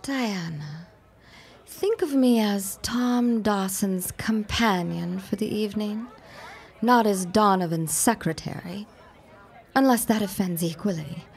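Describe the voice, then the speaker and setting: An actress playing a cool, defiant secretary in a period audio drama, guarded and pointed in manner. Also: female voice, mid-range voice, slow. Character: cool, defiant young secretary as party guest.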